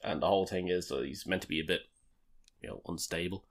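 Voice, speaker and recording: A man's voice: low-pitched, fast talker, vocal fry. A man co-hosting a podcast in casual chat, laughing often, swearing freely and telling rambling stories. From Ireland. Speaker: podcast co-host. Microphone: home podcast mic, video call.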